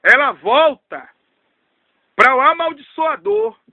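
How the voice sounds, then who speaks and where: A man's voice: very high voice, big pitch swings, slow pace, dry recording, phone-quality sound. A man, preaching an emphatic, repetitive testimony sermon. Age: 30s. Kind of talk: fervent storytelling sermon.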